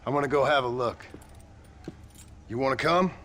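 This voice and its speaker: A man's voice: medium pitch, moderate pace, pushed and bright, a little hiss or hum, lightly acted. A man playing a weary, sardonic gunslinger with a drawl in a video game cutscene. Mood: concerned, firm. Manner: dry, sarcastic, polite drawl.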